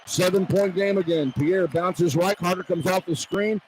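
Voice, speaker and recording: A man with a high-pitched voice delivers live basketball play-by-play for radio in short, clipped bursts. His delivery is urgent and punchy. Clear arena noise runs behind him. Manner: clipped, rapid-fire phrases that build in urgency. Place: courtside in an indoor arena. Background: noisy arena ambience.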